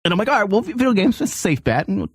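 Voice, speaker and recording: Higher-voiced man hosting, dry studio sound. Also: very animated, very fast, slightly rough, no echo. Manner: blunt, self-deprecating, crude joking. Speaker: show host. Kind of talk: casual comedic radio chat. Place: radio studio.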